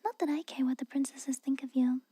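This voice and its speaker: A woman playing a haughty, guarded but secretly caring young castle maid in a soft, breathy, whispered ASMR roleplay, hesitant and chiding. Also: high voice, steady tone, a little fast, no room sound, lightly acted. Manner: hesitant, reluctant, aloof and chiding.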